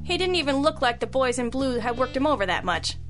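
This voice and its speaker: An actress playing a wry, sassy hard-boiled private detective who narrates and talks slang in an old-style radio drama. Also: female voice, high voice, sing-song, brisk, soft background music. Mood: wry narration.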